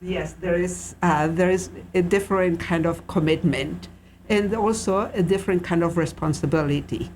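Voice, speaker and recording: A man's voice: high-pitched, moderate pace, darkish tone, a little hiss or hum. Argentine man with a slightly raised voice. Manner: careful, frequent uh fillers. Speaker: guest. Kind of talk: interview answers.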